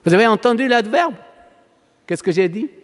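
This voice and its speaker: A Palestinian man, a native Arabic speaker with a Palestinian Arabic accent, preaching a sermon as a priest in an emphatic, oratorical manner with rhetorical questions and pauses. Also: high voice, expressive. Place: large church, preaching to a congregation. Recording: long reverberant church hall echo.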